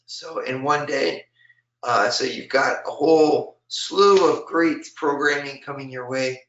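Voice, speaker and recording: A man preaching and leading prayers for an online talk, his voice a little hoarse, speaking earnestly with many fillers and slowing down for scripture. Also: mid-range voice, fairly even intonation, fairly bright tone, echoey room, highs cut off, slight background noise. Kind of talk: spoken teaching and prayer.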